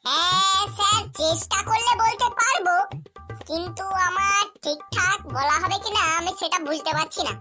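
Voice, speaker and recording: A cartoon schoolboy speaks in a high, childish voice. He argues back with whiny excuses and cheek. Music plays clearly behind him. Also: moderate pace. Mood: evasive. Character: cheeky excuse-making schoolboy, dim and argumentative. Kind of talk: comedy cartoon voice acting.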